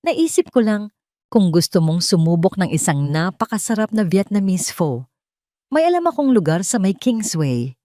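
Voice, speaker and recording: Courteous, shy male suitor, read by a female narrator, gentle, hesitant delivery, audio drama, dry sound. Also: medium pitch, big pitch swings, moderate pace, no echo, close to an everyday voice. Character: calm, sincere, courteous Filipino man, shyly courting.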